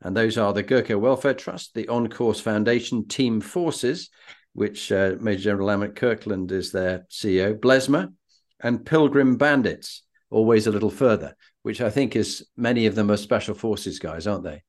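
A man's voice: on the low side, moderate pace, a little mellow. A man with a British accent hosting a conversational interview, telling anecdotes in an effusive, emphatic way. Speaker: interview host.

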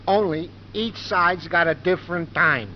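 An actor playing a blunt, folksy, wisecracking New cab driver in a 1950s radio drama. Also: male voice, fairly high pitch, measured pace, natural voice. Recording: muffled, faintly noisy 1950s radio recording.

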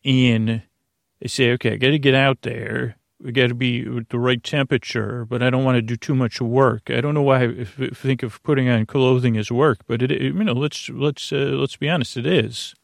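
A man rambling through a sleep podcast, drifting from one tangent to the next with plenty of fillers and restarts. His voice has a gravelly, fried edge. The sound is dry and close, with no room around it. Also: mid-range voice, steady tone, moderate pace, no echo. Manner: meandering, full of fillers and restarts, self-deprecating. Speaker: podcast host.

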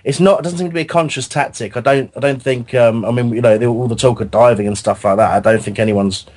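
A man's voice: mid-range voice, quick pace, darkish tone. A man co-hosting a football fan radio show, with a dry wit and a thoughtful way of talking. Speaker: co-host. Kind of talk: casual fan radio chat.

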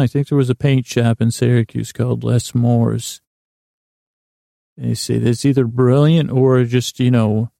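Low-key creaky-voiced man, rambling softly. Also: no echo, studio quality. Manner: meandering, halting, repeats and trails into tangents; self-deprecating, gently silly. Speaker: podcast host. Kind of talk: rambling bedtime sleep podcast monologue.

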